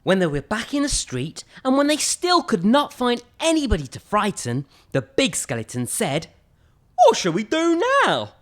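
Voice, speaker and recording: A woman reads a children's story with hugely varied, lively intonation. Her phrasing is theatrical and emphatic, at a middling pitch for a woman. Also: normal speed, hardly any pauses. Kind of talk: expressive children's storybook reading.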